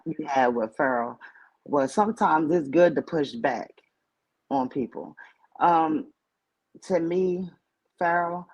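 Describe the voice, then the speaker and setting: Forty-something woman caller, firm and argumentative, husky voice. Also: low voice, a little mellow, highs cut off. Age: 40s. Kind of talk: casual call-in chat.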